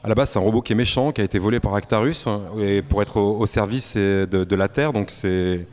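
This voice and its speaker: Low-voiced artist, casual. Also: male voice. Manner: relaxed, casual with filler pauses. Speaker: graffiti artist. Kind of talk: on-camera interview. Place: art gallery indoors. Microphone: handheld interviewer mic.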